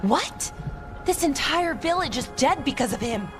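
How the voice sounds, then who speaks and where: A woman's voice: high-pitched, animated, unhurried. An actress playing an earnest, emotional young woman adventurer in a fantasy game, speaking emphatically and protective of her people. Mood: accusing, indignant. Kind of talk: dramatic video game acting. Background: dramatic music.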